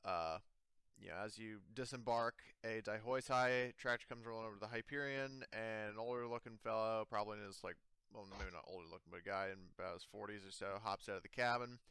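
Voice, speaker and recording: A man improvising tabletop game narration as game master in a casual, halting, self-correcting way. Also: lowish voice, sharp tone, smooth, no echo.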